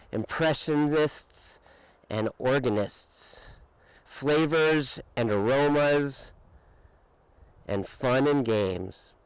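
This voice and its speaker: A man reading a children's picture book aloud with expressive, dramatic emphasis and drawn-out words. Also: mid-range voice, clear, resonant tone, no room sound, low-quality recording. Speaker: storybook reader.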